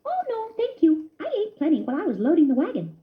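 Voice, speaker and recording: Woman voicing a friendly squeaky boy mouse, storybook, lively pitch, muffled lo-fi audio. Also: fast talker, heavily acted. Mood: cheerful. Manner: squeaky, cheerful. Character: cheerful generous young boy mouse cousin. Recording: dull lo-fi recording.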